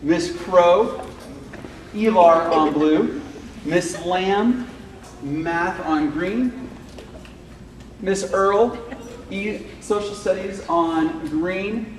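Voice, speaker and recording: A man who teaches fifth grade addresses a room of parents in a loud, casual way, full of fillers. His voice sits a little high for a man. He is picked up from across an echoey classroom, with noise behind him. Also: drawn-out words, pauses often. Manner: loud, informal, chatty with many fillers. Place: school classroom or hall. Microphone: far camera microphone. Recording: echoey room, far from the mic, noisy.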